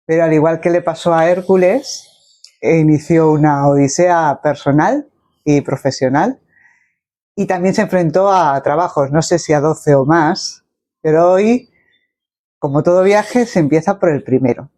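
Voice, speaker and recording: A man's voice: on the high side, unhurried, a little mellow. A man hosting a conversational interview, phrasing his questions in a reflective, elaborate way. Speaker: interviewer, host.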